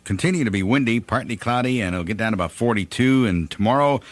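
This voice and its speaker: A man's voice: lowish voice, animated, fast talker, dry recording. An American man announcing on the radio as a DJ, reading out short items and station breaks. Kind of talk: radio announcing with weather and ad reads.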